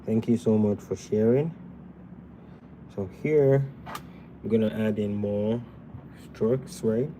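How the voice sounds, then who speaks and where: A young man from Ghana talks through a sketch in an unhurried, relaxed way, answering viewers between strokes. His voice is low and mellow, recorded indoors at his drawing desk with a faint room ambience behind him. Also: a little hiss or hum. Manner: relaxed, chatty, answers live chat while sketching. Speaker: drawing livestream host. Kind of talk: live drawing tutorial chatting with viewers.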